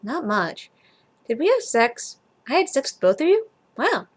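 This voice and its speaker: A woman's voice: sits high, sing-song, measured pace, pitched above the performer's own voice, full character voice. A woman voicing a confused, earnest, hungover young man in a fan fiction reading. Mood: bewildered.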